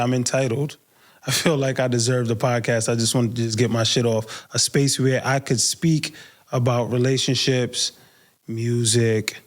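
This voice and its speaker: A man with a New York accent talks solo as a podcast host. His pitch is average and his pace is notably slow. The delivery is casual and unfiltered, full of everyday slang. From Brooklyn, New York, United States.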